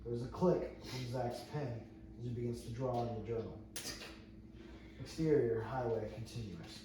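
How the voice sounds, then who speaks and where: A man with a mid-range voice narrates screen directions at a table read. He reads from the script in a heavily reverberant room, some way from the mic, with clear background noise. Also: medium pitch, normal speed. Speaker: narrator reading stage directions. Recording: very echoey room, distant mic, noisy.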